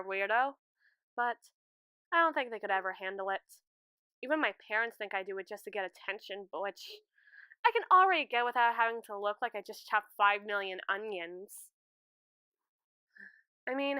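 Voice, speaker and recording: A woman's voice: a little high, clear, resonant tone, no room sound. A woman playing a lovestruck, anxious schoolgirl in an audio roleplay, chattering expressively and dramatically to a friend. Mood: sheepish, teasing. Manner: expressive, rambling, theatrical teenage chatter. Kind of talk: ASMR roleplay, one-sided conversation with a friend.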